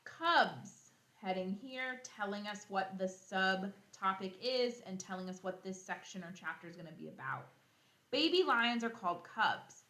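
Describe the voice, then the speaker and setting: A woman teacher reading a children's nonfiction book aloud, expressively, pausing to explain and think out loud for young pupils. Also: mid-range voice, animated, roomy sound. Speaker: elementary school teacher.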